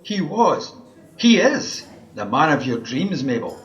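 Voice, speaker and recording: A man's voice: fairly high pitch, very animated, takes it slowly. A man with a Scottish accent playing a cheeky, all-knowing magical wish-granter in an amateur radio play, chatty and teasing. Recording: roomy, dull home recording.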